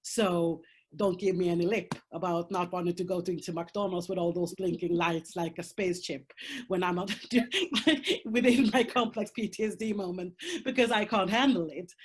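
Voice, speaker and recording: A woman's voice: lowish voice, breathy edge. A woman whose first language is Swedish, speaking English with a Swedish accent, talking frankly and emphatically as a panel guest over a video call. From Sweden. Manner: frank and emphatic, swears, laughs through hard topics. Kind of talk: candid conversational talk.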